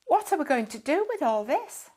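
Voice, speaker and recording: A woman voicing an eager, naive and excitable younger brother in a children's story. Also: high voice, moderate pace, voice pushed up. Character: eager, wide-eyed younger brother, small boy.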